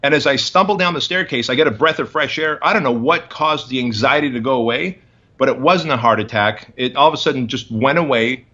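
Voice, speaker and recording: A man's voice: medium pitch, sharp tone, highs cut off. A man in his forties, a guest in an interview, telling his story in emphatic, punchy short phrases. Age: 40s.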